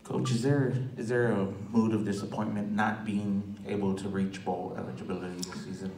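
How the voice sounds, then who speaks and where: A man working as a sports reporter asks a coach direct, businesslike questions at a press conference. His voice sits fairly low. He is heard from a distance in a roomy, echoing space with a faint hum behind. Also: flat delivery, moderate pace, darkish tone. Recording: echoey room, from a distance.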